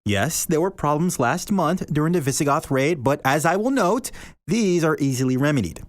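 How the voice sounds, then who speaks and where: A male podcast host reading a short story aloud in an expressive, deliberate way, slowing down for emphasis. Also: on the high side, no echo.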